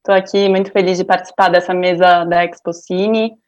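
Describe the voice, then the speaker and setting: A woman's voice: lowish voice, little pitch movement, brisk, darkish tone, muffled recording. A woman moderating a panel over a video call, friendly, with many hesitant starts and fillers.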